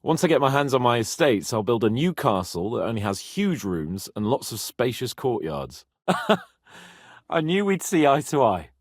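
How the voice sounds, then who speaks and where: A young medieval lord for a video game. He is imperious and quick-tempered, yet playful and teasing. His pitch swings widely in a very dramatic delivery, and the recording is dry and close. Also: big pitch swings, dry recording. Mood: calm, wry. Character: haughty young medieval nobleman, playful, hot-tempered, fond of companion. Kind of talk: video game character acting.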